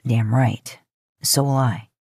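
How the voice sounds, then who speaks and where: Lady doing a low protective brother type guy, gentle but firm, audiobook, dry sound. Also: steady tone, slow pace, breathy edge, no room sound, heavily acted. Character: earnest, protective young man, caring brother and groom.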